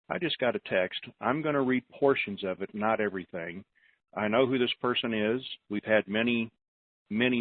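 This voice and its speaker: A man's voice: lowish voice, breathy edge, dry recording. American man hosting on a tinny phone line. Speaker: host of a conference call. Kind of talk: informal talk to callers on an investment conference call. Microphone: telephone.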